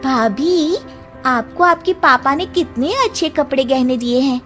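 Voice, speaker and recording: A woman's voice: high-pitched, a little slow, fairly bright tone, voice pushed up. A woman voicing a plaintive, envious young sister-in-law in a cartoon story. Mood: admiring, wistful. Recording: music behind the voice.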